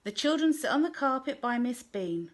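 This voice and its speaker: A woman reading a children's picture book aloud in a gentle, clear storytelling manner. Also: sits high, big pitch swings, normal speed. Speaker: storybook reader.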